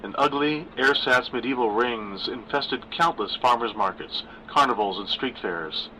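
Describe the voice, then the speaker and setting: A man reading a dark short story aloud in a measured, wry way. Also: medium pitch, slight background noise, poor audio. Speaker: narrator. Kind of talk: scripted story narration.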